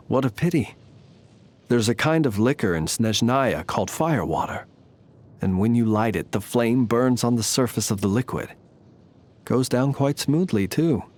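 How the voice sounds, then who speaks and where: A male actor performs an ancient gentleman soldier from a video game, a man who only passes for human. His mid-pitched voice is courtly and measured. He phrases everything formally and politely, with dry understatement. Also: natural voice. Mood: genial, wry. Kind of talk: scripted game character voice lines.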